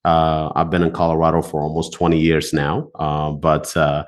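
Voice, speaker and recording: A man from Ethiopia in his thirties, a loan officer answering interview questions in a relaxed way, with many filler pauses. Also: extremely low pitch.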